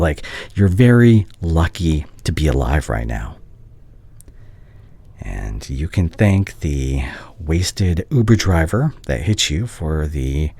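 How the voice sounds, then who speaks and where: A man plays a conflicted male doctor, a wounded but caring ex in an intimate audio roleplay. His voice is very low and husky, often breathy. He speaks slowly and haltingly, with earnest feeling. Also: warm tone, dry recording, heavily acted. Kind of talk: roleplay audio acting, intimate ASMR drama.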